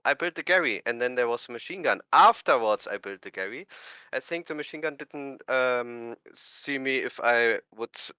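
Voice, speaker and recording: Bright-voiced man, dull lo-fi line. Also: medium pitch, clear, resonant tone, dry recording. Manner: informal, non-native phrasing. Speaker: competitive gaming team player being interviewed. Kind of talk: casual storytelling in post-match interview. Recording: dull lo-fi voice chat.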